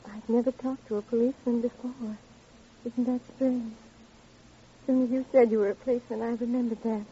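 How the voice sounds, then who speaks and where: Mellow, dazed grieving woman. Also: on the high side, level tone, normal speed, husky. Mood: dazed, polite. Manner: dreamy, detached, disjointed. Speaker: actress in a radio crime drama. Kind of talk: old-time radio acting. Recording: muffled, noisy old radio recording.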